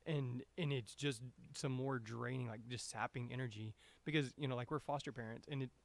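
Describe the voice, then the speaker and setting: A man's voice: mid-range voice, fairly even intonation, fairly quick, vocal fry. A man hosting a podcast interview, asking loosely phrased, conversational questions. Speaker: podcast host.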